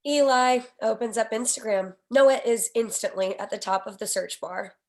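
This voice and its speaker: A woman's voice: on the high side, normal speed. A woman narrating screenplay stage directions in a scripted read, with wry, sassy asides.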